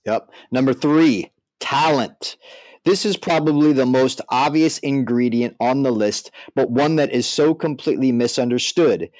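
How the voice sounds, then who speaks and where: A man's voice: mid-range voice, measured pace, a little mellow, no room sound, low-quality recording. A man with a mid-range voice co-hosts a podcast in a dry, close-sounding recording. He talks casually but explains like a teacher, often checking that his point has landed. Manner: explanatory, checks in with "right?" and "you know". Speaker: podcast co-host. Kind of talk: casual discussion.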